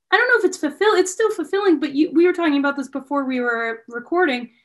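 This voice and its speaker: A woman hosting a casual interview, speaking in a tentative, conversational way. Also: high-pitched. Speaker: interviewer, host.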